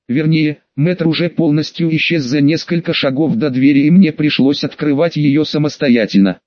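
A man's voice: on the high side, dry recording, dull audio. A man narrating a fantasy story from a script as an audiobook.

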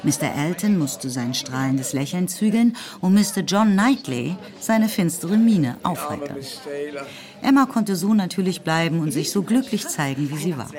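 Low-voiced woman narrating literary drama. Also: lively intonation, normal speed, crowd noise. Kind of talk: scripted literary narration in a German radio play.

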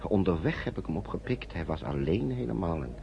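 A man's voice: low-pitched, animated, a little fast, creaky. An actor playing a guarded, courteous male traveller in a radio play, hesitant and evasive in his speech. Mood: hesitant, grateful. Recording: dull noisy old radio recording.

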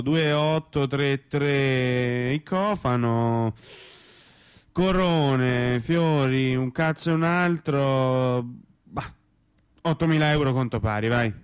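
An actor playing a matter-of-fact, rambling man in a radio play, with a halting, deadpan delivery. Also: medium pitch, clear, resonant tone, close to an everyday voice. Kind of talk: naturalistic radio theatre acting.

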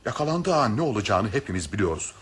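A man's voice: fairly low pitch, very animated, fast talker, a bit bright, a little hiss or hum. An actor playing an argumentative, self-protective male train passenger in a radio play, dismissive and formal. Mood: firm, argumentative. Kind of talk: Turkish radio theatre acting.

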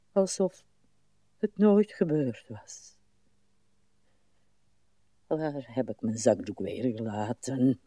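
An actress playing a weary, morbid, rambling old woman in a radio play, with slow, plaintive delivery. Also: a bass voice, warm tone, dry recording, heavily acted. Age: older.

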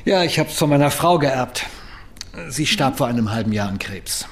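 A man's voice: mid-range voice, animated, moderate pace, lightly acted. An actor playing a bearded male philosopher and widower, genial and wryly self-mocking, in a radio drama. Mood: hospitable. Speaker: neuroethicist.

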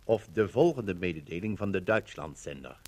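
A man's voice: sits low, lively intonation, normal speed. A man narrating a scripted 1950s radio documentary in a declamatory manner.

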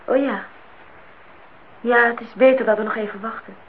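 Actrice als vrijpostige jonge vrouw, hoge stem. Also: female voice, a little high, steady tone, normal speed, close to an everyday voice. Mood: cheerful. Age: young adult. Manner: pert, direct, questioning. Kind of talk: radio play acting, intimate dialogue. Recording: tinny, noisy old radio play recording.

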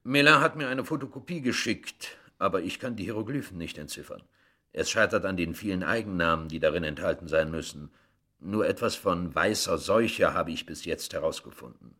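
A man's voice: deep voice, brisk, sharp tone, exaggerated voice. A male actor playing a matter-of-fact, obliging professor in a radio play, speaking precisely and in an educated way. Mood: helpful, factual.

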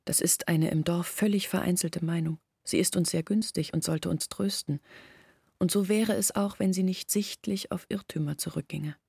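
An actress playing an earnest, bitter village woman in a German radio drama, reasoning insistently and intimately in a husky, creaky voice. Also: lowish voice, steady tone, normal speed, mellow, no room sound, clean studio sound, heavily acted. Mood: grave, insistent.

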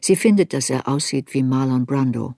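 A woman's voice: extremely low pitch, animated, normal speed, creaky, warm tone, no room sound, clean studio sound, exaggerated voice. A woman playing a reflective narrator who looks back on a girl's story in a literary radio play. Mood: sober, intense.

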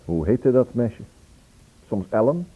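An actor playing a matter-of-fact police investigator in a radio play, direct and businesslike. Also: male voice, mid-range voice, big pitch swings, slow pace, slightly rough, exaggerated voice. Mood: businesslike, probing. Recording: tinny, narrow-band old radio recording.

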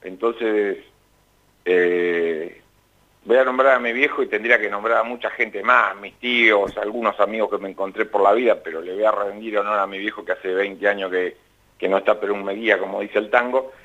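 A middle-aged man with an Argentine accent and a fairly low voice, speaking as a radio guest. He muses and rambles, breaking into frequent laughs, over a slightly muffled broadcast sound. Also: steady tone, moderate pace. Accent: Argentine Spanish. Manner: reflective, rambling, laughs often. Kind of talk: casual radio interview.

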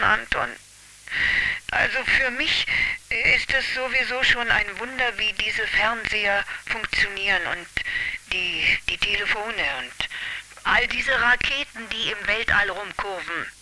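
A woman's voice: a little high, a little slow, sharp tone. A woman playing an affectionate, slightly puzzled old grandmother in a German radio drama. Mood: affectionate, musing. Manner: affectionate, musing. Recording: hissy, faintly noisy radio sound.

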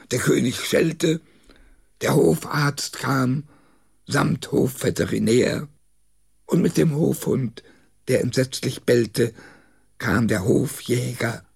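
A man reading a rhymed comic poem for children aloud, expressively and in a deliberate storytelling manner. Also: mid-range voice, expressive, gravelly, darkish tone, slightly rough. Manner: measured, deliberate storytelling delivery.

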